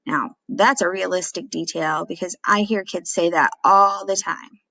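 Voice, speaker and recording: A woman reading a story aloud like a teacher, expressively, with clear explanatory asides. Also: fairly low pitch, fairly even intonation, a little slow, sharp tone, dry recording, rough recording.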